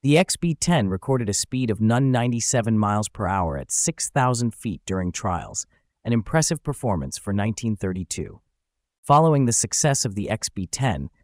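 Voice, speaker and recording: A man narrating a scripted aviation history video in an even, factual reading. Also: lowish voice, no room sound, studio quality.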